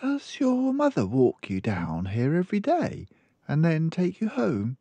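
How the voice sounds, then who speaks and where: A man voicing a kindly, concerned woman librarian from a storybook, with a gentle, proper, advising manner. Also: fairly high pitch, huge pitch swings, measured pace, breathy edge, no echo, voice pushed up, heavily acted. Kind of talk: storybook character voice.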